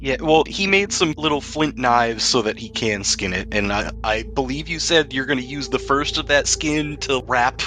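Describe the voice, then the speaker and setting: Bright-voiced man running a tabletop game. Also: mid-range voice, animated, moderate pace, hardly any pauses. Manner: casual, conversational, jokey. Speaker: game master. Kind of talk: narrating a tabletop role-playing game, improvised.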